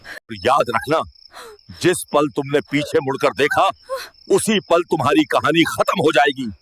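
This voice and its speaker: A man's voice: on the high side, measured pace, fairly bright tone, slight background noise. A man playing a grim, ominous figure who gives solemn, emphatic warnings in a dramatised moral story.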